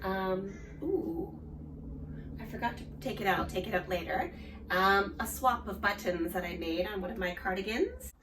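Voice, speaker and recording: A woman in her forties with an Australian accent hosts a knitting podcast, chatting casually with lots of ums and restarts, her voice a little hoarse from a cold. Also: medium pitch, very animated, normal speed, distant mic, background noise. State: slightly hoarse, recovering from a cold. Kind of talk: casual show-and-tell chat.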